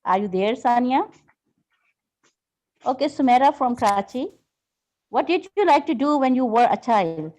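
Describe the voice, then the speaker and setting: Woman English teacher, slightly high voice, patient and corrective, online lesson. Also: lively intonation, normal speed, pauses often, a little mellow. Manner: patient, corrects grammar, calls students "beta". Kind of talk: online class. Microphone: video call.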